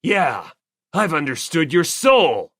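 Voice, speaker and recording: A woman's voice: deep voice, sing-song, slow, a bit bright, no echo, clean studio sound, full character voice. A woman voicing a blunt, manly, sincere teenage tough guy in an audiobook. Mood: forceful, sincere. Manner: blunt, emphatic. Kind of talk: audiobook character acting.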